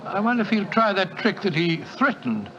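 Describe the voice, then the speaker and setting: A hearty doctor sidekick. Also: male voice, high voice, sing-song, a little fast, a crowd in the background. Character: affable, easily impressed doctor sidekick, middle-aged man. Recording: muffled 1940s radio broadcast with faint hiss.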